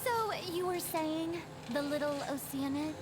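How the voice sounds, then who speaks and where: Game role: composed young woman asking questions, high voice, loud music behind. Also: a little slow. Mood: inquisitive. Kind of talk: video game voice acting.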